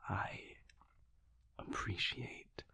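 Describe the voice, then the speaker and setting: A man playing a guarded, world-weary vampire in an intimate audio roleplay, speaking formally and measuredly in a breathy, gravelly near-whisper. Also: bright, forceful tone, heavily acted. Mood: guarded, pensive. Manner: formal, measured, clipped, sighing diction.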